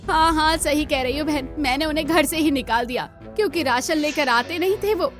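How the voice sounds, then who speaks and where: A woman voicing an irritated, dismissive village wife in a cartoon story, brisk and sure of herself. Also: high voice, faint music behind, natural voice.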